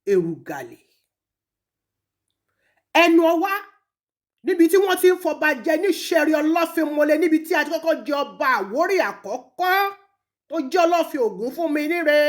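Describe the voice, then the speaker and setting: A woman with a Nigerian Yoruba accent, speaking accusingly and emphatically in an impassioned public rebuke, with pointed pauses. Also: sits high, big pitch swings. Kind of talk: impassioned public rebuke, switching between languages.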